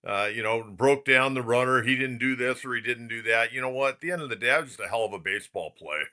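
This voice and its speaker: A man's voice: mid-range voice, fast talker, sharp tone. A man hosting a sports talk show, speaking in a direct, colloquial, conversational way.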